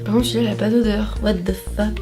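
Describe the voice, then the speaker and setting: Chatty, swearing woman vlogger over music. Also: mid-range voice. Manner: chatty, swears often, exclaims at products. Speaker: beauty haul vlogger. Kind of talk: casual unscripted haul chat. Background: background music.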